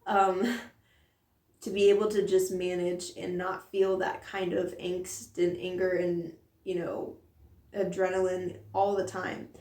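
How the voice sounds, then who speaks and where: A woman's voice: on the low side, flat delivery, takes it slowly, a little mellow, recorded from a distance. A mother vlogging in an earnest, rambling way, with a strained voice from a sore throat. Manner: rambling and earnest, many fillers. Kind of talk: candid personal vlog monologue. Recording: roomy echo, voice set back from mic.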